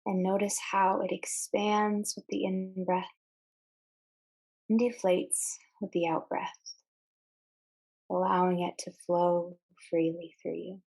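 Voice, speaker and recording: A woman leading a guided meditation, giving measured instructions in short phrases with deliberate pauses. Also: fairly low pitch, little pitch movement, gravelly, a little mellow, poor audio.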